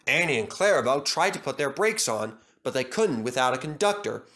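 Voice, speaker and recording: A man's voice: mid-range voice, animated, a little fast, sharp tone. A man reading a scripted children's story as its storyteller.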